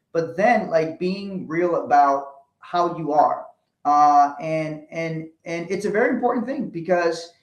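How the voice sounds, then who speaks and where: A man from Liberia co-hosting a casual advice chat, joking and rambling with frequent laughs and restarts. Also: fairly high pitch. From Liberia, West Africa. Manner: jokey, rambling, laughs often, many restarts. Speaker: co-host. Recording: roomy echo.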